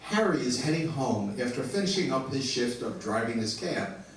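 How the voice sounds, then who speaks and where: A man's voice: medium pitch, sing-song, moderate pace. A man reading scripted stage directions as narrator at a live play reading. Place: live reader's theater venue, indoors. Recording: noisy, very echoey hall, distant mic.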